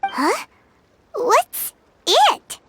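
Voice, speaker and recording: A woman voicing a small, excitable, childlike fairy companion in a video game, eager and exclamatory. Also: very high voice, very slow, background noise, full character voice. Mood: puzzled.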